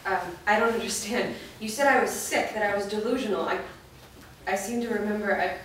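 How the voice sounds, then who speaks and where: A woman's voice: mid-range voice, fairly quick, a bit bright, natural voice. A woman playing a skeptical, bewildered young woman in a staged reading, with a questioning, hesitant delivery. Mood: confused. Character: skeptical, bewildered young woman, vampire hunter's loved one. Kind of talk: staged reading of a fantasy drama. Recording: echoey room, distant mic, faint noise.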